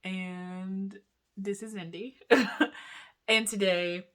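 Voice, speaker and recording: A man's voice: high-pitched, steady tone, very slow, pushed and bright, clear, resonant tone. A young man vlogging in a casual, rambling way, full of asides and giggles. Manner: chatty, giggly, talks in loose asides. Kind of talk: casual rambling chat to camera.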